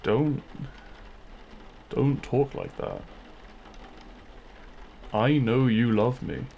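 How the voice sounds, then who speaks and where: Man playing a slow, gravelly, possessive demon king. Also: medium pitch, lo-fi sound, a little hiss or hum. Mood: pleading, ardent. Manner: theatrical, silky, menacing yet courtly. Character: possessive, smug demon king wooing a kidnapped royal. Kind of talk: scripted audio roleplay, addressed to the listener.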